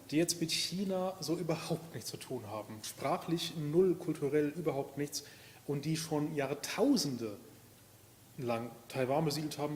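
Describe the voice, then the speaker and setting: A man on a panel explaining a topic in a measured way, with hesitation fillers. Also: mid-range voice, a little mellow. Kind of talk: panel discussion. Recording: echoey hall with long reverb, faint noise.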